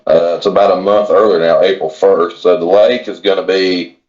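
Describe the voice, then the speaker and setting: Dull lo-fi call audio, man, very low voice, plainspoken, folksy, casual guest chat. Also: fairly even intonation, moderate pace, a little mellow. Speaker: podcast guest, kayak angler. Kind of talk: casual chat, informal advice.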